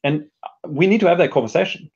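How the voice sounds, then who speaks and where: A man's voice: a little high, fast talker, a little mellow, breathy edge, highs cut off. A man giving thoughtful interview answers, reflective and hesitant, often restarting his sentences as he works through an idea. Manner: reflective, hesitant, frequent self-restarts and hedging. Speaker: interview guest, technology educator and speaker.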